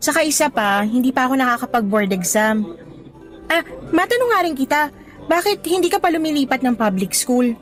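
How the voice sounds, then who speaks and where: A woman's voice: high-pitched, animated, fairly quick, faint music behind, low-quality recording. A woman acting as a cheerful young new teacher in a radio drama, chatty and appreciative with a hopeful, slightly nervous temper. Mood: warm, sincere. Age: young adult. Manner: bright-spirited, chatty, appreciative.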